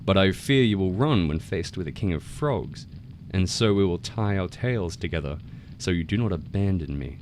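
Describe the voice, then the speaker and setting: A hungry old tiger from a folktale, as a male narrator plays him. His voice is very deep and grumbling, earnest and easily fooled, with some noise in the recording. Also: lively intonation, normal speed, darkish tone. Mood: wary. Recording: noticeable background noise.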